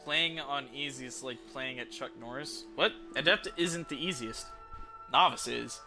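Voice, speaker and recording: A man's voice: mid-range voice, a little slow. A young man chatting casually and irreverently as he live-streams a video game. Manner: irreverent, swearing. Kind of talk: casual live stream chat over gameplay. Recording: game music behind.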